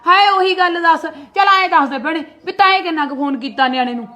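A woman's voice: a little high, close to an everyday voice. A middle-aged woman, acting a defensive mother who argues back and scolds her sister-in-law in a family skit. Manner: argumentative, quick retorts, scolding.

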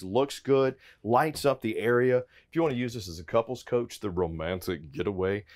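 A man's voice: medium pitch, dry recording. A man in his forties giving an upbeat, chatty walkthrough as an RV salesman, inside a trailer and then out on a dealer lot. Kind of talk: casual product walkthrough.

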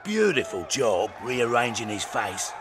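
An actor playing a boastful, scheming fight promoter in a video game, with a grandiose way of talking. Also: male voice, mid-range voice, big pitch swings, a little slow, background noise. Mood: excited, showy. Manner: grandiose, speaks of himself in third person.

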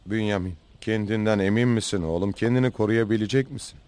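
A man's voice: fairly low pitch, fast talker, a little mellow, close to an everyday voice. A man playing a stubborn, grieving old father in a cartoon dub, firm and protective. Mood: troubled. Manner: firm, distrustful, emphatic.

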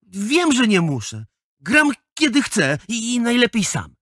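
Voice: mid-range voice, wildly swooping pitch, a little slow, a bit bright, dry recording, clean studio sound. A performer playing a proud, sulky young schoolboy athlete in a children's audio drama, defensive and prone to stammer. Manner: defensive, stammering when flustered.

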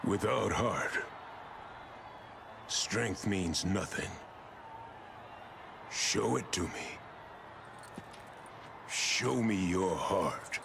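Casting: wise old fighting mentor, low gravelly voice, solemn game-cutscene delivery. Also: male voice, background noise, exaggerated voice. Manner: slow, solemn, measured. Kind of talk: dramatic video game cutscene acting.

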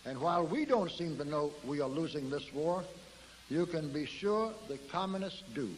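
Older guy giving a formal podium speech, kind of high voice, old archival tape in a big echoey hall with faint hiss. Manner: measured oratory, enumerating points. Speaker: speaker addressing an audience.

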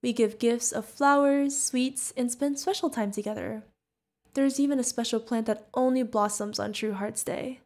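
Woman acting as friendly female alien bartender, slightly high voice, sweet and curious, chatty ASMR roleplay. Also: steady tone, moderate pace, a little mellow, lightly acted. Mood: sweet, delighted. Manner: sweet, chatty, speaking directly to the listener.

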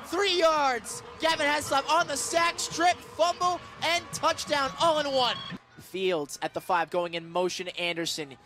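High-pitched man calling football play-by-play. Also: a little slow. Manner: excitable, shouts and stretches words on big plays. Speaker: radio play-by-play announcer. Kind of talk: live football play-by-play commentary. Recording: live radio sports broadcast.

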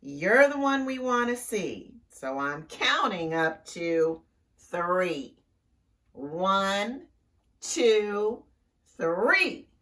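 A woman voicing a calm, fearless storybook mother frog who speaks deliberately and firmly, a little away from the mic. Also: lowish voice, far from the mic, heavily acted.

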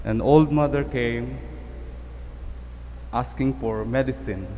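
Filipino man, priest at a church lectern, chatty homily storyteller, mid pitch, long church reverb, faint room hum. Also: steady tone, slow pace, mellow. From Philippines. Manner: conversational, code-switching, repeats words. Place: church sanctuary. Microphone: stand mic at lectern.

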